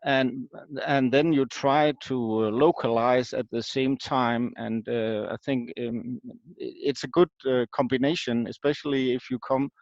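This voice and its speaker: A man from Denmark with a Danish accent, explaining as an expert interview guest in a halting, hesitant way with frequent ums and pauses. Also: mid-range voice, steady tone, no room sound. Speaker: guest expert, marketing professor. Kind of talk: unscripted explanation in an online interview. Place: video call. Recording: dull lo-fi online call audio.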